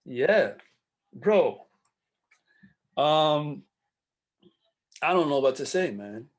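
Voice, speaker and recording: A man hosting a casual podcast, playful and full of praise for his guest, stammering when excited. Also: fairly high pitch, very slow. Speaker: podcast host. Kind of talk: casual chat.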